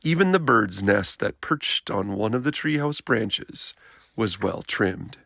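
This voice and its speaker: A man reading a children's picture book aloud in an expressive storytelling style. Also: fairly low pitch, slow, vocal fry, dry recording, studio quality.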